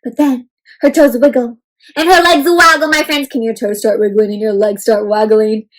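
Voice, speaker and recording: A high-pitched woman storyteller reads a picture book for young children. She is expressive, often turning to her listeners with questions and punctuating the tale with exclamations and playful sound effects. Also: moderate pace, low-quality recording. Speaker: children's read-aloud storyteller. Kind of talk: interactive picture-book reading for kids.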